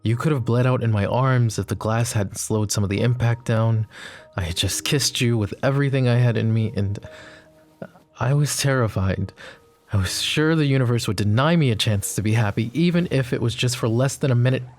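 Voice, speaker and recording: A man's voice: medium pitch, normal speed, soft background music, close to an everyday voice. A man playing a smitten, self-deprecating mafia boss in an intimate ASMR romance roleplay, murmuring hesitantly and teasingly. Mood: anguished, vulnerable.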